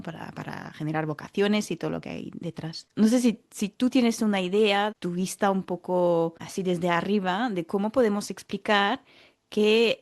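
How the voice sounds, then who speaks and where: A woman from France, a native French speaker, interviewing casually with halting, roundabout phrasing and repeated words. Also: lowish voice. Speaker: interviewer, podcast host.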